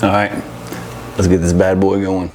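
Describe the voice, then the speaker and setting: A man's voice: sits low, little pitch movement, normal speed, darkish tone. A man talking informally to viewers as an installer, walking through a product demo in a casual way and addressing them as guys. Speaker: audio-video installer presenting to viewers.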